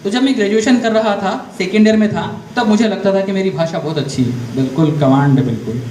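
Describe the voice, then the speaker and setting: A man's voice: high-pitched, brisk, a little mellow. A man giving a motivational career guidance talk to school students, often asking the class questions. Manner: addresses the audience with frequent direct questions; storytelling, explains step by step. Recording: echoey room, faint background noise.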